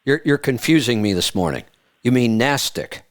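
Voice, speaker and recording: A man's voice: medium pitch, very animated, moderate pace. A man hosting a radio call-in show, talking casually with callers.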